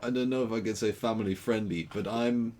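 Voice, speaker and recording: Low-voiced Dutch young man rambling. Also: steady tone, brisk, a little mellow, faint music behind. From Netherlands. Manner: rambling, playful, laughs often. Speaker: game streamer. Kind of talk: casual gaming stream chat.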